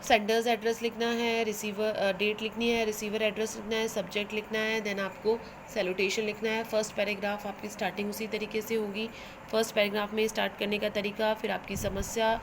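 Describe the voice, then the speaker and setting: A woman's voice: medium pitch, flat delivery, brisk, noisy background. A woman with an Indian accent teaching English to school students, explaining instructively and switching between Hindi and English phrases as she repeats and translates.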